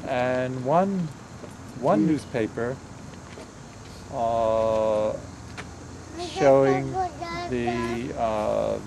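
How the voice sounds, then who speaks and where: A soft-spoken man in his eighties from Pennsylvania, reminiscing as he guides his family around outdoors, halting with many pauses and restarts. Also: medium pitch, gravelly. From Pennsylvania, USA. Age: 80s. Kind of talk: reminiscing storytelling. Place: outdoors by a roadside house. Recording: outdoor camcorder with traffic noise.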